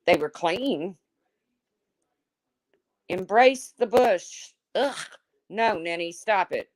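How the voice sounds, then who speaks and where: A woman with a deep, husky smoker's voice hosting a livestream, reading chat comments and answering them in a blunt, chatty way. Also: animated, drawn-out words, no echo, poor audio. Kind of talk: casual chat with viewers.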